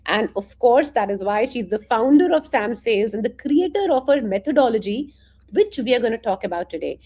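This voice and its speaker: A woman's voice: on the high side, lively intonation, brisk, a little mellow. A woman hosting a live interview stream, introducing her guest enthusiastically over a video call.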